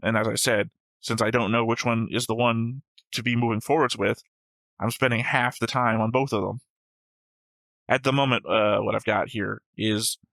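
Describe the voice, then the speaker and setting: A man's voice: medium pitch, pushed and bright, no echo. A man co-hosting a reaction show, talking casually and analytically through an anime episode in an explanatory way.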